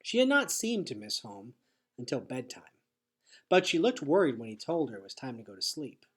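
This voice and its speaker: A man reads a storybook aloud in an expressive, teacherly way. His voice is husky and sits at a middle pitch, swinging up and down as he stresses phrases. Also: moderate pace. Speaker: teacher reading a chapter aloud. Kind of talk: read-aloud storybook narration.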